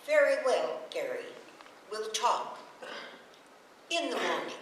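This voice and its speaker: Homespun older American mother for radio soap: earnest, steady, very lively intonation, distant echoey old recording. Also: fairly high pitch, slow, slight background noise. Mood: composed. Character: steady, plain-spoken older mother, homely wise matriarch. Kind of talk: old-time radio soap opera acting.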